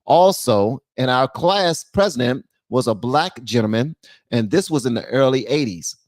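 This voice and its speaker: A man's voice: mid-range voice, lively intonation, moderate pace, darkish tone, dry recording. A man hosting a live call-in stream, chatting casually and informally, addressing his guests like buddies. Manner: informal, calls guests brother, man, babe.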